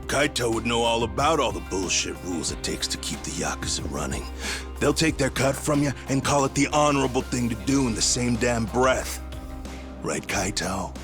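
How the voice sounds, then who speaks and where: An actor playing a cocky gang thug who taunts and threatens in a video game. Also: male voice, medium pitch, expressive, moderate pace, lightly acted. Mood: taunting. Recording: music playing behind. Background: music.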